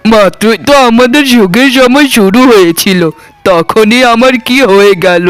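A sick, worn-out village husband in an animated story, his voice high and dry, close to the mic. A man voices him with weak, despairing pleading. Soft music plays underneath. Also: clean tone, no echo. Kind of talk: cartoon voice acting.

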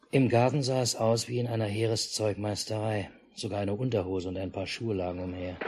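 A man's voice: low voice, a little mellow, breathy edge. A man playing an unflappable family father in a 1950s radio drama, with a dry, understated delivery. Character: unflappable, dryly matter-of-fact family father amid war. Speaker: narrator and father. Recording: noisy 1950s mono radio recording.

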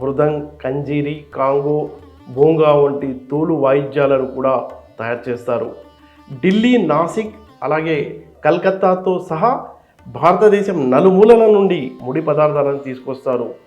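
A man speaks in a fairly high register. He delivers as a reporter, presenting and questioning, with music heard behind his voice. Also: highs cut off. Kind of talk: explainer narration and street interview.

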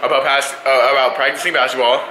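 A young man vlogging in a casual, joking, excitable way with friends, swearing freely. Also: mid-range voice, fast talker, a little hiss or hum, rough recording. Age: young adult. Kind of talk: casual chat to camera and friends. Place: school hallway and residential driveway outdoors. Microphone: handheld camera at arm's length.

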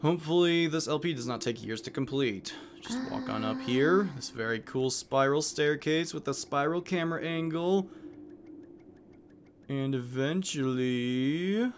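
A man's voice: fairly high pitch, measured pace, noisy background. A man hosting a let's play with casual video game commentary, tossing in jokey, self-deprecating asides. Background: video game music.

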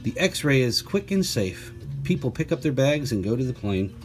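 A man reads a children's picture book aloud, clearly and deliberately, adding chatty asides and playful questions for young listeners. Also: mid-range voice, big pitch swings, faint music behind.

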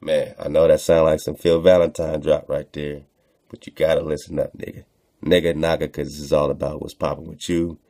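Male online radio host: very deep, mellow voice, slangy and repetitive for emphasis, reading and riffing casually. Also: little pitch movement, brisk. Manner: slangy, conversational, repeats phrases for emphasis. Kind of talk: casual commentary while reading aloud from a text.

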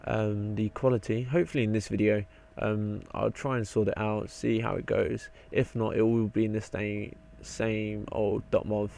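A young man with a croaky voice, rambling and swearing casually while narrating his gameplay. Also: fairly low pitch, level tone, moderate pace, few pauses, warm tone. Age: young adult. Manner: rambling, swears often, mutters to himself. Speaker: game video maker.

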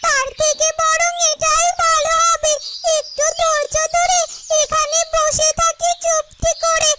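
A woman voicing a small, cautious yellow bird in a cartoon fairytale, slowly thinking aloud. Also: very high voice, level tone. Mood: cautious, hopeful. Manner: slow, deliberate, thinking aloud. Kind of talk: Bengali cartoon fairytale dialogue.

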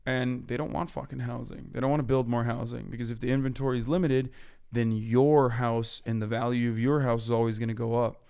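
Blunt, profane man, smooth voice. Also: medium pitch, level tone, darkish tone. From Turkey. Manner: blunt, profane, rapid explaining. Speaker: podcast guest. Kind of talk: heated political chat. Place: podcast studio with couches. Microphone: boom mic on stand.

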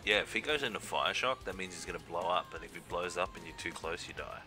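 Deep-voiced man streaming a game. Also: fast. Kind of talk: casual gaming chat. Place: indoors, voice over gameplay. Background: music.

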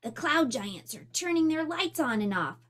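A woman's voice: sits high, very animated, moderate pace, a bit bright. A woman voicing a playful young hawk who spins soothing tall tales in a storybook.

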